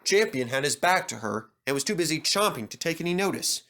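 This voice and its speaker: A man narrating a scripted children's story as an expressive storyteller. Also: a little high, fairly quick.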